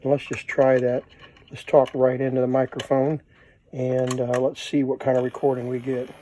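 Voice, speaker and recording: A man's voice: mid-range voice, monotone, moderate pace, mellow, slight background noise. A man giving a casual, unscripted hands-on demonstration, thinking aloud as he works as a hobbyist presenter. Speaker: vintage tape recorder hobbyist, presenter.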